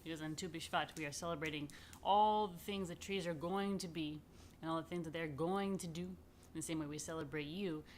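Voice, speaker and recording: A woman's voice: sits low, a little hiss or hum. A woman rabbi hosting a children's story time, speaking informally and playfully straight to the children in a chatty way.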